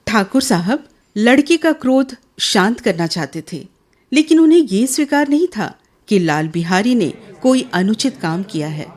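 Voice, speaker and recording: A woman's voice: on the high side, very animated, moderate pace, a little mellow, faint music behind. Woman narrating a radio drama. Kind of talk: scripted literary drama narration.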